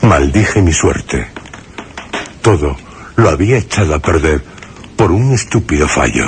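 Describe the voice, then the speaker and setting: An actor playing a fearful, cautious man who narrates a suspense radio drama in grave, measured, dramatic phrases. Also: a bass voice, sing-song, slight background noise, heavily acted. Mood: tense, grave.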